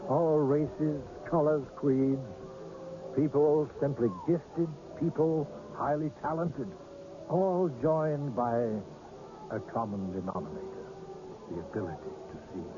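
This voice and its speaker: A man's voice: a little high, expressive, slow. An actor in a radio drama playing a polite, mysterious older man with a genteel, precise, faintly hesitant manner. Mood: apologetic, assuring. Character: polite, mysterious old scout of seers. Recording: dull, noisy old radio broadcast.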